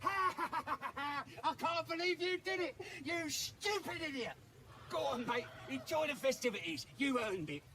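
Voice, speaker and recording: An actor voicing a crude, mocking goblin raider in a video game, with a snarling voice and coarse slang. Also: male voice, very high-pitched, expressive, unhurried, background noise. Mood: jeering, boastful. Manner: coarse rustic slang with inverted sentences. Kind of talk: video game character acting.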